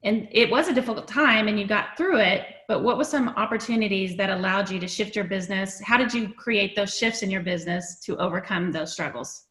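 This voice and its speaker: A woman's voice: medium pitch, a little fast, few pauses, a bit bright. A woman co-hosting a show over a video call, interviewing in a conversational way. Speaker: show co-host. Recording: roomy, echoing sound.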